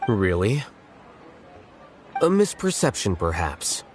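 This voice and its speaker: A man's voice: fairly low pitch, very animated, takes it slowly. An actor playing an aloof, blunt young male scholar in a video game, speaking in a dry, detached way. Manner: dry, detached, matter-of-fact. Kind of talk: English video game voice acting. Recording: background music under the voice.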